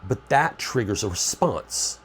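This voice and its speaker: A man's voice: on the low side, very animated, a little slow, a little hiss or hum. A man with a stuffy, nasal cold voice, hosting his own preparedness channel in a rambling, conversational way and often correcting himself. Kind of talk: casual talk to camera.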